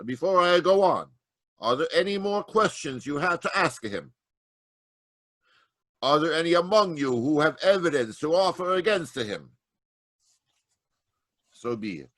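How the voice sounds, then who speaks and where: An actor playing a stern Italian elder presiding as judge in an old-time radio drama, commanding and deliberate, with an Italian accent. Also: male voice, fairly high pitch, animated, a bit bright, dry recording. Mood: solemn, deliberate.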